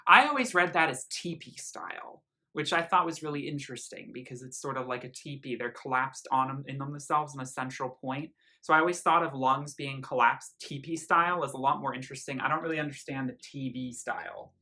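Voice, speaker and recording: A man's voice: mid-range voice, a little fast, few pauses, pushed and bright. A man giving a casual, effusive music review, speaking earnestly and leaning on emphasized words. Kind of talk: casual album review commentary with lyrics read aloud.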